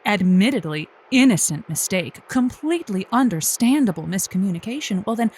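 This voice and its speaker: A woman plays a radio advice host with very lively, swooping intonation. She is sympathetic and folksy, nudging callers along with encouragement in a comedy call-in style. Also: medium pitch, a little fast, slightly rough. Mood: sympathetic, encouraging. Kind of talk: improvised comedy advice show.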